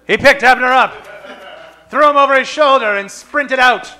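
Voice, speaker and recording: A man's voice: very high voice, moderate pace, bright, forceful tone. A man narrating a comic radio play in a dramatic scripted style.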